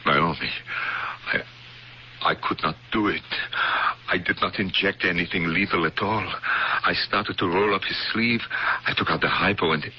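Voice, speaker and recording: Deep-voiced, guilt-ridden husband played by a man. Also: natural voice. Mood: remorseful. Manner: halting, hushed, breaking off. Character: guilt-ridden, gentle husband confessing he could not kill. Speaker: actor in a radio mystery play. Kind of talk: 1970s radio drama acting. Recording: dull lo-fi old radio recording, faint noise.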